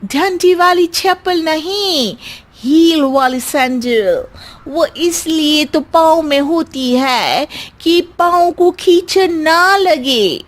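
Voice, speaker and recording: A woman's voice: high-pitched, lively intonation, very slow, exaggerated voice. A woman voicing a cheeky, naive young city bride with a pert, self-assured manner in a comic cartoon. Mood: pert, confident. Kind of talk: Hindi cartoon story acting.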